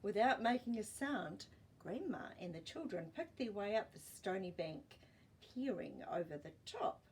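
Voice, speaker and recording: A woman's voice: mid-range voice, expressive, unhurried, darkish tone, a little hiss or hum. A woman reading a children's story expressively, with playful asides to listeners.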